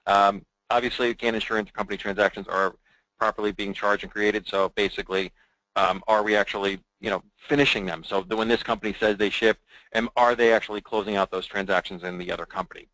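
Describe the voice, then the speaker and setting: A man with a deep voice and a crisp, forward tone presents a software demo. He talks informally and conversationally, as if walking colleagues through slides. The sound is close and dry. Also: steady tone, fast talker, dry recording, rough recording. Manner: conversational, checking in with "right?".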